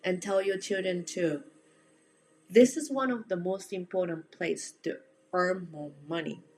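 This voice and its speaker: A woman's voice: lowish voice, measured pace, darkish tone, soft background music, rough recording. A woman from Japan with a Japanese accent, giving casual, direct instruction as a home organizing coach and speaking to the listener in an encouraging, plain-spoken way.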